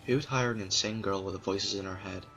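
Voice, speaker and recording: A man's voice: low voice, steady tone, fast talker, fairly bright tone, rough recording. A man voicing a haunted young woman. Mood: anxious. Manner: anxious, pleading monologue to herself. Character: troubled young woman, 21, hearing whispers. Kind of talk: fan audio drama reading. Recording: music playing behind.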